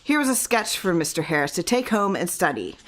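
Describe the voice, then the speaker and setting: Woman narrating a spooky short story, lowish voice, expressive audiobook style. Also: brisk, a little hiss or hum. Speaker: audiobook reader.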